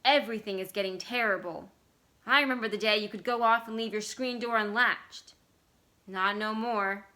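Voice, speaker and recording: Folksy storybook diner owner, a man read by a woman, gloomy, complaining, bright-toned. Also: medium pitch, moderate pace, lightly acted. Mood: gloomy, nostalgic.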